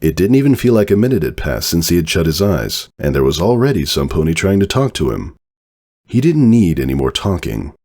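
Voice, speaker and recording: A man's voice: low-pitched, very animated, a little fast, a little mellow. A man narrating a story as a dramatic, scripted reading. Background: background music.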